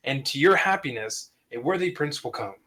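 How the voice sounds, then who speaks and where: A man's voice: medium pitch, expressive, normal speed, sharp tone. A man playing a devoted fatherly dragon in a fantasy script table read, with earnest, grandiose storybook phrasing. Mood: tender, earnest.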